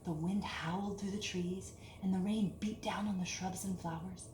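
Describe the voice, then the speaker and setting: A woman reading a picture book aloud to children in an expressive, deliberate storytelling manner, with hushed dips and emphatic lifts. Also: medium pitch. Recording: echoey room with clear background hiss.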